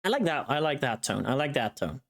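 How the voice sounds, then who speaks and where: A woman's voice: very deep voice, sing-song, brisk. A woman streamer chatting casually and thinking aloud while she works on voice recordings. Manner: thinking aloud, self-directed muttering. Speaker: streamer recording voice pack lines. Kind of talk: casual livestream chat while working.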